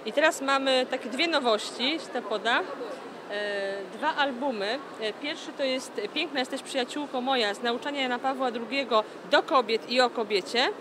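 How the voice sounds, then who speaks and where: A woman's voice: high voice, moderate pace. A woman in her thirties, a nun, answering an interview at a book fair stand, earnest and full of hesitant fillers. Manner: earnest, many "e" fillers and "tak?" tags. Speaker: nun from a publishing house. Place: busy indoor book fair hall. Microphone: handheld interview mic. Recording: noisy book fair hall.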